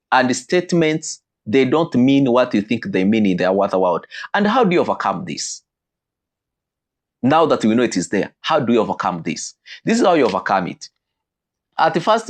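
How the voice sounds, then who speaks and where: A man delivers a teaching talk on marriage in a voice of ordinary middle pitch. He talks animatedly, often jumping upward in pitch and stretching words out slowly when he wants a point to land. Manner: animated, with sudden pitch leaps and slowed emphatic phrases.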